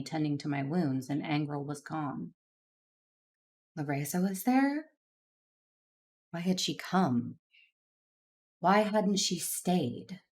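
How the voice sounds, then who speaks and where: Low-voiced, stern Jedi master. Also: female voice, darkish tone. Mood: hurt suspicion. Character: stern, wounded female Jedi master, suspicious. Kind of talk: audio drama narration and dialogue.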